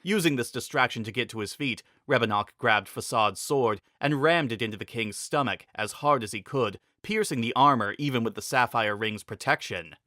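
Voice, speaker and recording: A man's voice: medium pitch, moderate pace, hardly any pauses, bright, forceful tone, no room sound, clean studio sound. A man narrating a scripted fantasy adventure story as a dramatic reading.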